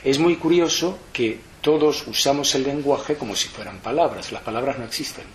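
A man's voice: medium pitch, moderate pace. A man from lecturing to an audience, reflective and explanatory, often restarting his sentences. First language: Spanish. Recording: roomy hall echo, faint background noise.

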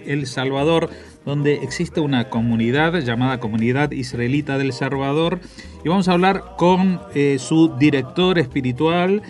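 A man hosting a radio program and interviewing a guest, conversational, with some hesitations. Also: medium pitch. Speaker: radio host.

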